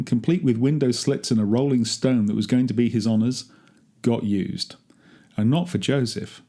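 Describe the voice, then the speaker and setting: Man reading as a wry, grumbling tomb gardener, mellow. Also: medium pitch. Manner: dry, chatty, darkly humorous. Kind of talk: first-person dramatic monologue, read aloud.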